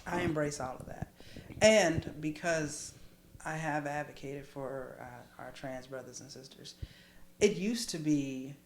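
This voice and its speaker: An American woman in candid casual conversation, speaking in an emphatic, expressive, colloquial way. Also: deep voice, measured pace. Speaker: podcast conversation partner. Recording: roomy echo with clear background noise.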